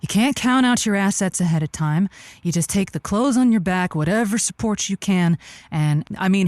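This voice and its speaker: A woman's voice: medium pitch, dry recording, studio quality, close to an everyday voice. A woman playing a flustered, rambling radio advice host who stammers earnestly and gives grand, sentimental counsel in an improvised audio drama.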